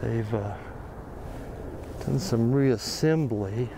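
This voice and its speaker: A man with an American accent walks a construction site outdoors, talking casually to his camera and thinking aloud with plenty of pauses and fillers. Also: mid-range voice, vocal fry. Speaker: videographer on a walking site tour. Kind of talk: casual walking commentary. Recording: outdoor camera audio with noticeable background noise.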